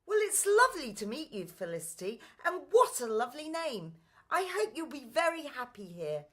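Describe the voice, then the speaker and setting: A kindly, cheerful young heroine of a children's radio play, acted out by a woman. She is gentle and friendly, with a bright, lilting voice that rises and falls freely. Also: high voice, sing-song, normal speed, voice pushed up. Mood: welcoming.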